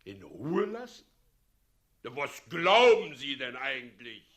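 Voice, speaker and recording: An actor playing a smug, menacing tyrant president in a 1960s German radio play, pompous, mocking and commanding. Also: male voice, medium pitch, very animated, slow, fairly bright tone. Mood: imperious, irritated.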